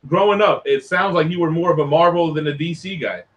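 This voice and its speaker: A man's voice: fairly high pitch, fairly quick, a bit bright. A man hosting a casual interview, laid-back and joking. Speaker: show host.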